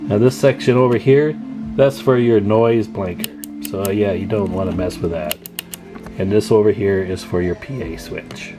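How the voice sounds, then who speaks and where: Low-voiced man chatting over guitar music. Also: steady tone, moderate pace. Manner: informal, folksy, addressing viewers as guys. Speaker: radio repair hobbyist and video host. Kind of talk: casual hands-on demonstration chat. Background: plucked guitar music.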